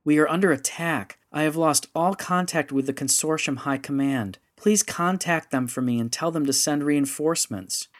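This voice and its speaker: Guy doing a panicky lady space commander, pitched way up, begging hard. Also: animated, a little fast, hardly any pauses, a bit bright, no room sound. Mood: pleading. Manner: pleading, rising urgency. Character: desperate female starship commander.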